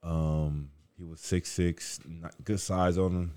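Deep-voiced laid-back man chatting. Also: fairly even intonation, takes it slowly, mellow, smooth. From Los Angeles area. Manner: laid-back, slangy, trailing off with "you know". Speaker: guest. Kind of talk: casual chat. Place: indoors by a fireside, late night.

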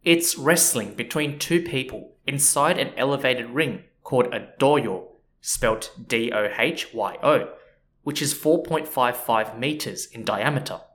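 Podcast host, male, relaxed and talkative, mid pitch. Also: a little slow. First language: not Japanese. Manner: chatty, explanatory, uses fillers like "you know" and "so yeah". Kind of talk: casual educational podcast narration.

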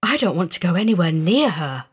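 A woman playing a quiet, uneasy young girl in an audio story, speaking in a hushed, matter-of-fact way. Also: fairly low pitch, big pitch swings, a little fast, slightly rough, heavily acted. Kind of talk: audio story character acting.

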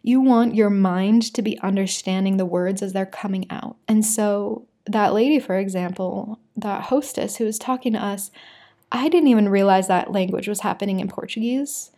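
A young woman from the United States tells a story straight to camera, talking loosely and candidly with plenty of filler words. Her voice is mellow and warm rather than bright, and sits at an ordinary pitch for a woman. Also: normal speed. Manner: chatty, frequent "like" fillers, candid. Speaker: vlogger.

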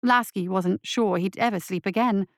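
A woman reads dark fantasy fiction as an audiobook narrator. Her voice sits at a medium pitch, and the sound is dry and close, free of room echo. The delivery is scripted and dramatic. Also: moderate pace. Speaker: story reader.

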